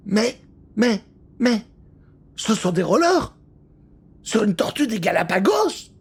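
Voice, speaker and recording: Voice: mid-range voice, very animated, slow, breathy edge, pitched above the performer's own voice, exaggerated voice. A storyteller voicing an astonished, bookish young boy who stammers in amazement in a children's audio adventure. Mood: dumbfounded.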